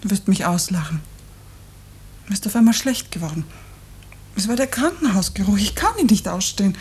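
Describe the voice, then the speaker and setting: Anxious wife in old radio play, husky breathy voice, subdued, dodging, hesitant. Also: female voice, medium pitch, animated, a little fast, a little mellow. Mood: fearful, troubled. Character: troubled, evasive wife hiding her illness and fear. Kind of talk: 1950s German radio drama acting.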